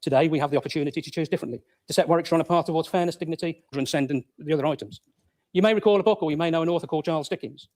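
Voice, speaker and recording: A man's voice: on the high side, rapid-fire, darkish tone. A man speaking as a local councillor, giving a formal political speech to a council chamber.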